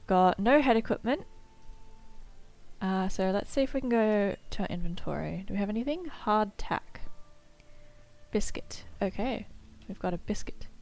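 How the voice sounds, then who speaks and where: A woman's voice: mid-range voice, expressive, normal speed, darkish tone. A woman in casual let's-play commentary, reading tutorial text aloud and reacting, slightly nasal from a cold. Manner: chatty, upbeat, muses aloud with fillers. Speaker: gameplay streamer.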